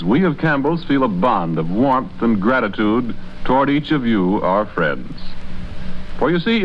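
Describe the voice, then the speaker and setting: A man's voice: medium pitch, slight background noise. A man announcing a sponsor message in polished, courteous, earnest 1940s radio style. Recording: muffled old radio broadcast with faint music.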